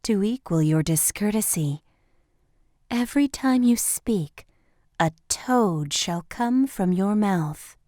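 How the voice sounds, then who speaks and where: A woman voicing a gracious fairy who solemnly and deliberately grants magical gifts in a storybook. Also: mid-range voice, animated, warm tone, no room sound, studio quality. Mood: stern.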